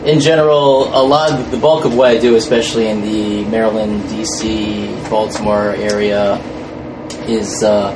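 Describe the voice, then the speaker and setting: A male actor being interviewed, chatting casually in a halting, wry manner. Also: fairly low pitch, steady tone, over music. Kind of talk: casual joking interview chat. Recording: noisy room with air-conditioning hum.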